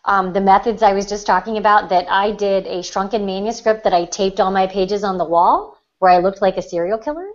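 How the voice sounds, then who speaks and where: Lady on a writing podcast, mid pitch, talking things through, kinda dull lo-fi sound. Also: brisk, hardly any pauses. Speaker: writer, writing teacher and magazine editor. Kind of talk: casual chat.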